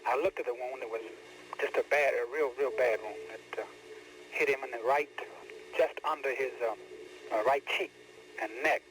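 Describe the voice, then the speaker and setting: A man gives an eyewitness account, halting and breaking his phrases. His voice is mid-pitched, on an old radio tape with faint noise. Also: fairly bright tone, low-quality recording.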